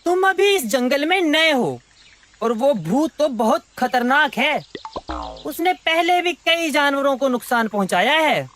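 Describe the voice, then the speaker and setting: Woman voicing a high-pitched, clever cartoon monkey. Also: expressive, unhurried, fairly bright tone. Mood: concerned. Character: friendly, clever monkey who warns his friend. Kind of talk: cartoon story voice acting.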